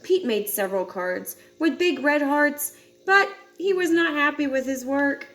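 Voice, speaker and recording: High-voiced woman reading a children's story. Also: expressive, unhurried, a bit bright. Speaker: storybook reader. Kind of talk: expressive children's read-aloud.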